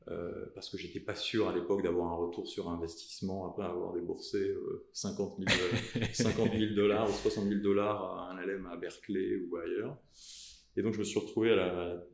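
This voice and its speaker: A man in his thirties from southern France, a lawyer telling the story of his career in a podcast interview, pausing often with fillers and restarts. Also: sits low, gravelly, darkish tone, roomy sound. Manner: halting, many fillers and restarts. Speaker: lawyer guest.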